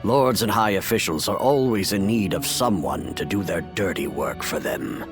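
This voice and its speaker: An actor playing a grave, remorseful imperial official and adoptive father in a video game, with measured, formal delivery. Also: deep voice, music in the background, close to an everyday voice. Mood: solemn, explanatory. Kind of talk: video game cutscene acting.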